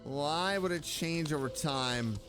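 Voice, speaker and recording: A man doing casual live gameplay commentary, expressive, reacting aloud and talking to the characters. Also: fairly high pitch, takes it slowly, faint music behind. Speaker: game streamer.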